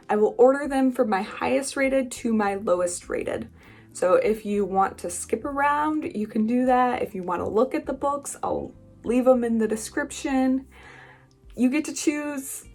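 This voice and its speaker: A woman's voice: on the high side, darkish tone. A woman hosting a book vlog, talking in a casual, chatty style with informal, emphatic asides. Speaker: book reviewer and channel host. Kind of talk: casual chatty video monologue.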